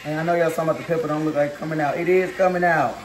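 A woman's voice: sits low, steady tone, racing. A young woman hosting a casual home cooking vlog, chatting and teasing as she talks straight to her viewers. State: tired arm while mixing. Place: home kitchen. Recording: echoey kitchen with background noise.

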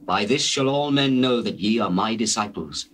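Casting: grave, gentle master teacher, lowish pitch, stately declamation for dramatized scripture. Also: male voice, lively intonation, slight background noise. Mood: solemn, tender. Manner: measured, solemn, declamatory. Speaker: actor in dramatized Bible recording. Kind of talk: dramatized scripture reading in archaic English.

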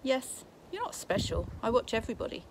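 A woman's voice: fairly high pitch, sing-song, fairly quick, background noise, pitched above the performer's own voice. A woman reading a story aloud in her garden, voicing a wary, cocky boy who talks in a blunt, offhand way. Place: garden outdoors.

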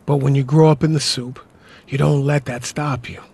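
An actor playing a gruff, self-doubting older survivor, wounded and weary, in an audio drama, with a husky, gravelly voice and a halting, strained delivery. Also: male voice, mid-range voice, steady tone, darkish tone. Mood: reflective, grim. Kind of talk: post-apocalyptic audio drama acting.

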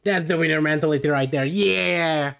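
A man's voice: fairly high pitch, normal speed, gravelly, a bit bright. A young man from Hungary with a Hungarian accent, streaming a game live and chatting in a rambling way with lots of fillers, tired late in the session. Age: young adult.

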